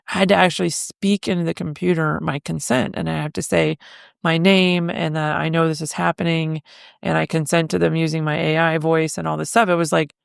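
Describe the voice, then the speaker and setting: Chatty woman podcast host with gravelly edge. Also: low-pitched, no room sound, clean studio sound. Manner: chatty, self-deprecating, laughs often. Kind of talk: casual solo chat. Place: home living room.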